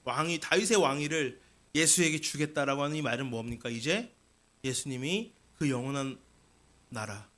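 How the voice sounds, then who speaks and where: A man's voice: mid-range voice, normal speed, a bit bright. A man preaching a Sunday sermon as senior pastor, speaking earnestly to his congregation and posing rhetorical questions. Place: church sanctuary.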